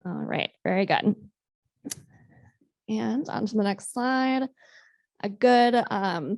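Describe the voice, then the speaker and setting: A woman's voice: mid-range voice, no echo, studio quality. A woman occupational therapist giving a practical presentation to a club, walking the audience through simple exercises in a friendly, instructive way. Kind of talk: health talk to a club.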